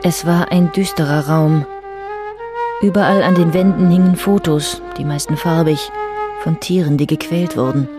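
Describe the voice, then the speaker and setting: A woman's voice: deep voice, fairly even intonation, moderate pace, close to an everyday voice. Actress as composed female crime narrator over music. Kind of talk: scripted literary narration.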